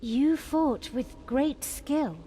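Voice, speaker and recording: A woman's voice: high-pitched, very slow, mellow, slight background noise. A woman playing an earnest, grateful young nun held captive, speaking politely and formally in fantasy game dialogue. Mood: pleading, grateful. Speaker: game character. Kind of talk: voice-acted fantasy quest dialogue.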